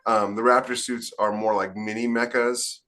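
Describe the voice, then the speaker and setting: A man's voice: fairly low pitch, flat delivery, normal speed, pushed and bright. A man co-hosting a podcast as a science fiction author, chatting casually and enthusiastically in rambling explanations. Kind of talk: casual panel chat.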